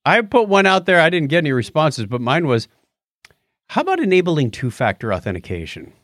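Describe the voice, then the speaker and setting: Lively, higher-pitched man co-hosting a podcast. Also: a little fast, dry recording, clean studio sound. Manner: jokey, bantering. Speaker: podcast co-host. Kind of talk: casual chat with listener feedback and trivia.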